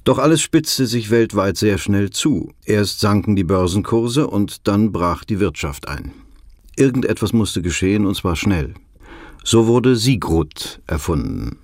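An actor playing a sober documentary narrator, explaining in scripted, matter-of-fact sentences. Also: male voice, lowish voice, lively intonation, unhurried, no echo, clean studio sound. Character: sober male documentary narrator of a financial crisis.

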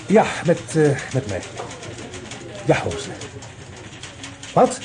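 An actor playing a brisk, methodical police chief inspector giving orders in a radio play. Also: male voice, fairly high pitch, wildly swooping pitch, noisy background, full character voice. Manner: brisk, commanding. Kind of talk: radio drama acting.

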